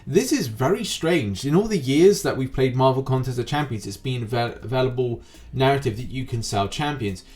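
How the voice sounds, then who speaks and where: A man's voice: medium pitch, expressive, a little fast, a little mellow. A man hosting a gaming news show, giving wordy, rambling commentary in a presenter's style. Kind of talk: YouTube gaming news commentary, semi-scripted. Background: background music.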